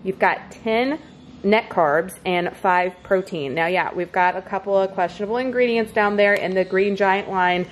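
A woman's voice: medium pitch, normal speed, slight background noise. A woman chatting casually through a grocery haul in her kitchen, upbeat and talking straight to viewers. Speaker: vlogger showing a grocery haul. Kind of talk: casual chat. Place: home kitchen, indoors.

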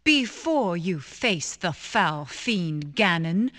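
A woman's voice: fairly low pitch, very animated, slow pace, a bit bright, full character voice. A woman playing a cold, commanding sorceress in a cartoon video game, stately and incantatory over music. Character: imperious crystal sorceress queen, cold and commanding.

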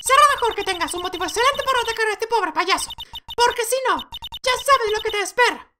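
A man's voice: very high voice, animated, fairly quick, background noise, voice pushed up. A man voicing a haughty, threatening young female prosecutor in a video game, imperious and taunting. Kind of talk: video game courtroom dialogue dubbing.

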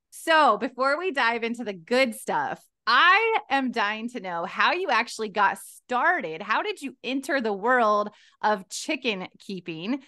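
Upbeat woman podcast host, high, bright, sharp voice, enthusiastic. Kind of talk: scripted podcast introduction. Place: home room. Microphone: headset on video call.

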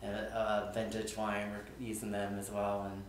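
Flat low-voiced man in echoey room. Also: a little slow, a little hiss or hum. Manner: relaxed, rambling, many "like"s. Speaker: restaurant co-owner. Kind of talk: casual interview answers. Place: small studio on a couch.

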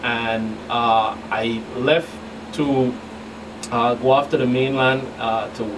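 Halting middle-aged man, distant noisy recording. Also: medium pitch, steady tone. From U.S. Virgin Islands. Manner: halting, deliberate, hesitant phrasing. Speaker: law enforcement agent, radio guest. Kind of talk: talk show interview. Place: small radio studio. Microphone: studio boom microphones in view. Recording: distant mic, clearly noisy room hum.

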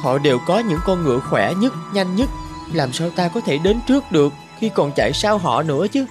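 Earnest young tribesman, high-pitched. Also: normal speed, over music. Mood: worried. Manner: earnest, expressive. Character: poor earnest young tribesman, eager but unsure. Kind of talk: animated fable voice acting.

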